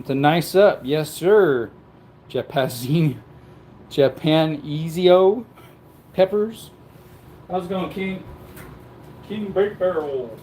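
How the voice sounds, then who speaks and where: A man's voice: fairly high pitch, a little mellow, a little hiss or hum. Easygoing man chatting over home ambience. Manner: rambling and easygoing, greets chat viewers. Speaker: cooking livestream host. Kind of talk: casual live-stream chat while cooking.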